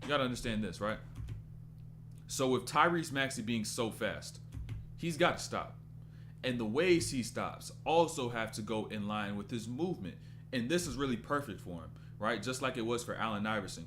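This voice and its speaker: Low-voiced young man streaming chat. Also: background noise. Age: 20s. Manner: loose and rambling, breaks off to read chat. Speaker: basketball breakdown streamer. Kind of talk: casual live-stream commentary.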